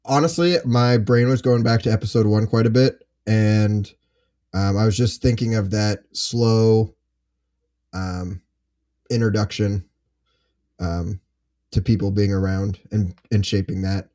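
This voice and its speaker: A man's voice: lowish voice, fairly even intonation, unhurried, dark tone. A man in a casual panel discussion, candid and hesitant as he thinks aloud. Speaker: panel participant.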